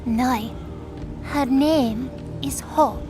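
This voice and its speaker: A woman acts as a young winged girl in an audio drama, with a very high voice. She is earnest and stubborn, arguing with naive passion. Music plays clearly behind her. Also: very slow. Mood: tearful, resolute. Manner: impassioned, naive, argumentative. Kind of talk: audio drama character acting.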